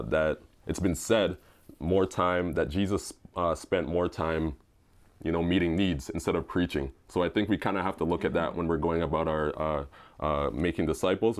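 A man from Canada, a seminary student speaking on a panel in a relaxed, thoughtful way with many fillers. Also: deep voice. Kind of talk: casual discussion.

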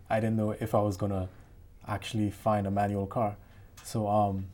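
A young man, a theology student guest, soft-spoken and a little hesitant as he tells his story. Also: on the low side. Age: young adult.

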